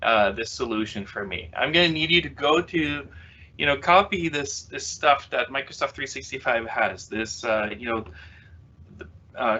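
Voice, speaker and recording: A bright, forceful-voiced man with a French Canadian accent, whose first language is French, giving a casual developer conference talk over a webcast. He rambles with plenty of "uh"s and jokes at his own expense. The audio has a slightly dull, lo-fi webcast sound. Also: mid-range voice. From Quebec City, Canada. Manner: chatty, many "uh" fillers, self-mocking humor. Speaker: conference speaker, developer consultant. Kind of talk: self-deprecating tech presentation.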